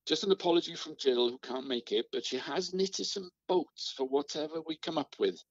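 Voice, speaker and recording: A man's voice: on the high side, normal speed, dry recording, highs cut off. An actor, a man playing a polite, hesitant community organiser in a radio play. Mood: anxious, chivvying. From Swansea, Wales (the character).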